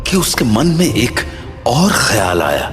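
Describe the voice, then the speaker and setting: A man's voice: medium pitch, wildly swooping pitch, measured pace. A man narrating a scripted horror story as the storyteller of an audio drama. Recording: long reverb, music bed.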